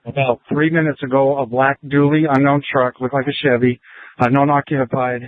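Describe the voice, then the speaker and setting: A man's voice: medium pitch, level tone, normal speed, dry recording. Policeman reporting over a thin, tinny radio. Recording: phone-like police scanner radio audio.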